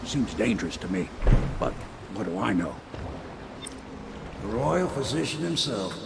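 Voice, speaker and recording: An actor playing a humble, weathered older boatman in a video game, with a gravelly voice and a folksy, matter-of-fact manner. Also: on the low side, big pitch swings, measured pace, heavy background noise, full character voice. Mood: wary, musing. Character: humble weathered working boatman, plain-spoken and wry. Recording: loud water and boat ambience.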